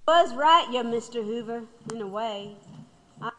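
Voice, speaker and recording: Actress as reflective, higher-voiced radical widow. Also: female voice, animated, measured pace. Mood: reflective, regretful. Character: reflective radical widow, proud, wry and regretful. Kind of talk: audio drama acting. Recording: reverberant, hall-like sound.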